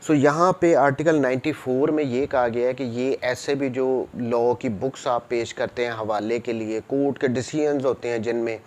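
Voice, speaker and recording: A man's voice: medium pitch, darkish tone. A man with a Pakistani accent lecturing in a didactic way, reading formal text aloud and explaining it, switching between two languages. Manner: didactic, code-switches between Urdu and English. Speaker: law lecturer.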